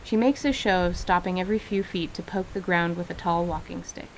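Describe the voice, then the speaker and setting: A woman reads scripted story narration for radio theater. Her voice is a little low, her phrasing measured and descriptive. The recording carries a faint noisy rumble.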